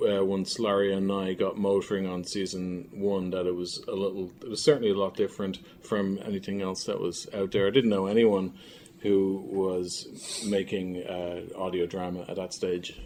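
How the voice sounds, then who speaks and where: A man with a lowish voice talks casually as an interview guest. He thinks aloud, pausing and feeling his way through phrases. There is faint noise in the background. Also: mellow. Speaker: interview guest, audio drama creator. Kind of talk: casual chat.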